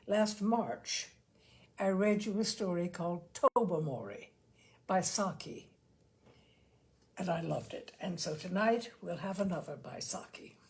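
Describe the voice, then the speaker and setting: A woman host reading short stories aloud with deliberate, expressive phrasing. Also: on the low side. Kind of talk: storytelling, read-aloud narration.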